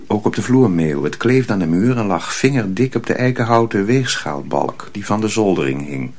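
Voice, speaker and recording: Animated male radio drama narrator. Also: on the low side, moderate pace.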